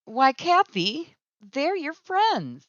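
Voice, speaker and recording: Dull vintage radio sound, gentle American mom, middle-aged, unhurried, anxious, tender, 1950s sitcom. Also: female voice, sits high, sing-song, a little hiss or hum, exaggerated voice. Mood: soothing. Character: gentle, worrying suburban mother and wife.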